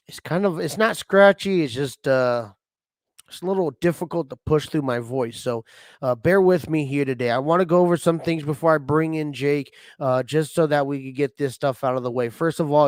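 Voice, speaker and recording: A man hosting a sports podcast in a casual, rambling way with many fillers, his voice slightly hoarse from a cold. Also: a little high, moderate pace, no room sound. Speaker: podcast host.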